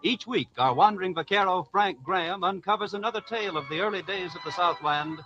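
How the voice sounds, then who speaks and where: Formal American radio announcer, vintage broadcast sound. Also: male voice, high voice, brisk, muffled recording, music in the background. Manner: formal, polished delivery. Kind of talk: 1940s radio announcing, scripted sponsor narration.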